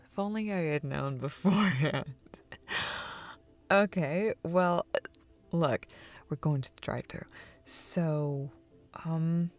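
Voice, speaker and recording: A woman's voice: sits low, a little mellow. A woman playing a playful, nervous young woman in an intimate romantic audio roleplay, hesitant and stammering, with a teasing, giggly edge. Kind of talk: intimate romantic audio roleplay, naturalistic improvised-sounding dialogue.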